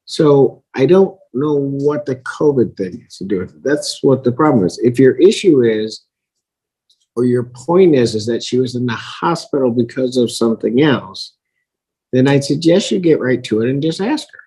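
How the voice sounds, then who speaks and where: A man with a husky voice speaking as a judge in a courtroom, reasoning aloud in halting, repeated phrases. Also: mid-range voice, animated, dark tone, poor audio. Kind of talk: courtroom ruling, spontaneous questioning.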